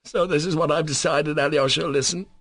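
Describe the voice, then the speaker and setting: A man's voice: a little high, steady tone, a little fast, fairly bright tone, no room sound, voice pushed up. A man voicing a tormented, fervent young Russian man. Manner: fervent, overwrought outbursts.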